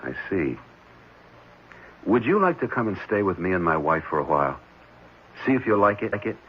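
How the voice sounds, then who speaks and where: An actor playing a concerned, gently probing prospective foster father in a 1970s radio drama. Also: deep voice, very animated, normal speed, breathy edge, exaggerated voice. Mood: gentle. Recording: muffled, noisy vintage radio recording.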